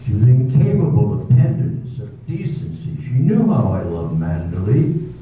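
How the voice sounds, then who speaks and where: A man's voice: on the low side, very animated, normal speed. A man in an amateur stage reading playing a tormented husband confessing a killing, in 1930s-style radio drama acting. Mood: bitter. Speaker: amateur theater actor. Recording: distant, echoey, tinny phone-like sound with faint noise.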